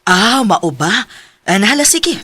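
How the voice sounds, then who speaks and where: A woman's voice: mid-range voice, very animated, a little slow, pushed and bright, husky, no echo, full character voice. A woman playing a fond mother. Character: middle-aged mother, fond and fussing. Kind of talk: radio drama acting.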